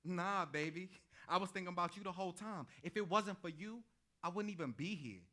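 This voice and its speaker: A man acts out a young American artist full of big dreams in a stage drama. His voice is high and very bright, forceful and sharp. He talks in emphatic slang, brash and persuasive. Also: fairly quick. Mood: eager, persuasive. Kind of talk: staged play reading.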